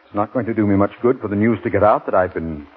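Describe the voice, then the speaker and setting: Thin, narrow old radio sound frames a proud, jilted American politician. His low, husky voice is formal and stiff, with bitterness under it, in 1940s drama style. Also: quick pace. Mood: wounded, bitter. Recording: thin, band-limited 1940s radio recording.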